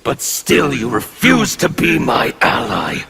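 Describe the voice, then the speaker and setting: Gravelly menacing rebel zealot, slow, wildly swinging pitch, snarled game-intro lines over loud noise. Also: male voice, huge pitch swings, heavily acted. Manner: snarling, theatrical, harsh emphatic delivery. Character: menacing male revolutionary zealot, intimidating. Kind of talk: fighting game intro dialogue.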